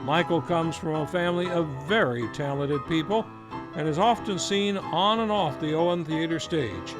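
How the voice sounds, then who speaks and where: Male radio-drama host, formal announcer read, higher voice, live guitar underneath. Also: moderate pace. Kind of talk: scripted old-time radio introduction. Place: local community theater stage. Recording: live show with guitar music behind.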